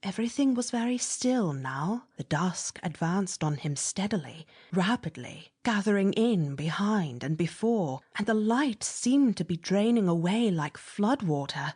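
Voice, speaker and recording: A woman narrating classic literary fiction as a dramatic audiobook reading, expressive and measured, with theatrical emphasis. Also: medium pitch, breathy edge.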